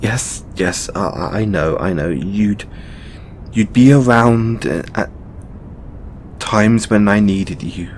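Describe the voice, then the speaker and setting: Low, halting god boyfriend acted by a man. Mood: soft, resigned. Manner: halting, stammering, repeats words. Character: male god, flustered tender boyfriend to his mortal devotee. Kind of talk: intimate audio roleplay. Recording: noticeable low rumbling background noise.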